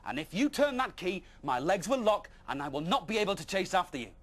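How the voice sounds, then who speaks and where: A man's voice: sits high, sing-song, brisk, a bit bright, voice pushed up, heavily acted. A man voicing a plucky, polite, inventive boy in a storybook, earnest and eager. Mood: pleading, sly.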